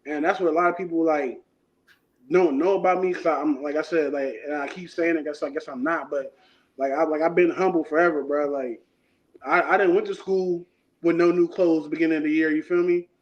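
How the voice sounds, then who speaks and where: Brief: man in his thirties, interview guest, relaxed and slangy, highish voice, muffled lo-fi sound. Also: brisk. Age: 30s. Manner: laid-back, rambling, slangy. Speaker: guest artist. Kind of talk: casual interview talk. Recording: dull lo-fi sound.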